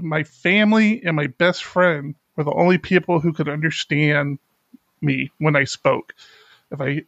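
A man's voice: fairly high pitch, vocal fry, a bit bright, no room sound, highs cut off. Middle-aged man with a slight speech impediment. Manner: candid, halting with restarts and laughs. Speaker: podcast host. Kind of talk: casual chat.

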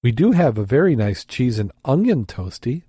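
A man's voice: medium pitch, sing-song, moderate pace, warm tone, breathy edge, no room sound, studio quality. A man voicing a chatty male pub barman in a joke he reads aloud on a podcast. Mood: eager.

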